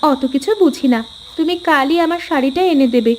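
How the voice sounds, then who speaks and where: A woman's voice: high-pitched, fairly quick, a little mellow, a little hiss or hum, lightly acted. A woman voicing a sulky, nagging young village housewife in a cartoon, wheedling and complaining. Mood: sulky, demanding. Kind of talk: cartoon voice acting in Bengali family story.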